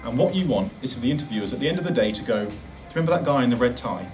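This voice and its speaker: A man's voice: mid-range voice, expressive, racing, a little mellow, far from the mic, faint music behind. A man giving an instructional presentation, as a presenter of practical advice. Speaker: presenter giving interview tips.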